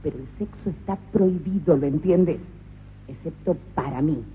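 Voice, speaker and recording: A woman's voice: deep voice, normal speed, a little hiss or hum, lightly acted. A performer voicing a cruel, sadistic woman who runs a prison, domineering and scornful, in a comic radio play. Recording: tinny lo-fi radio recording.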